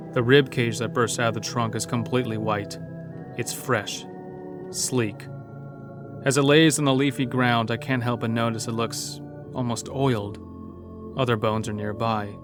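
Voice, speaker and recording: A man narrating a scripted first-person horror story as an uneasy logging worker. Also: mid-range voice.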